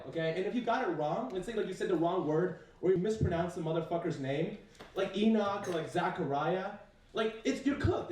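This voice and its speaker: Lively young man doing stand-up. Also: high-pitched, very echoey, recorded from a distance, low-quality recording. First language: Chinese. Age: young adult. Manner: casual, swearing, filler-heavy, self-mocking. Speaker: stand-up comedian. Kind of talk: stand-up comedy set. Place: university comedy stage.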